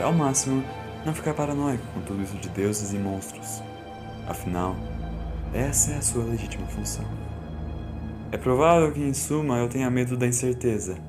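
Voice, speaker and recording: Brooding man of science read by a male narrator: solemn first-person audiobook, deliberate, uneasy, music underneath. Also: medium pitch, natural voice. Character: Doctor Carlos, fearful, reflective man of science recounting events.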